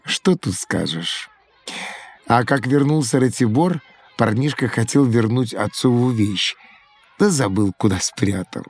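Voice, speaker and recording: A man's voice: medium pitch, a little mellow. A folksy schoolmaster from olden times in an educational audio play. He explains his craft expressively, in the manner of a village storyteller. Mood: fond, storytelling. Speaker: teacher. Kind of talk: educational audio drama acting.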